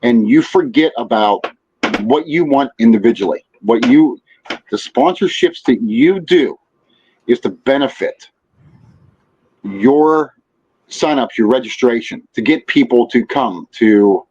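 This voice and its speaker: A man's voice: mid-range voice, slow, a little mellow, lo-fi sound, a little hiss or hum. A man speaking as a podcast guest, explaining things casually in an earnest, emphatic way.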